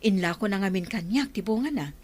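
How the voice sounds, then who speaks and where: Lady acting as a friendly older village auntie selling fruit, radio drama, mid-pitched voice. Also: expressive, brisk, lightly acted. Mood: affectionate.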